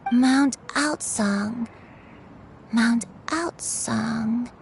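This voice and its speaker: A woman playing a frail, gentle grandmother in a video game, speaking haltingly and reflectively with a sometimes breathy voice. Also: a little high, a little hiss or hum. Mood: bewildered, apologetic. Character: frail, gentle old grandmother burdened by forgotten past. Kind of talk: dramatic video game voice acting. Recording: music under the voice.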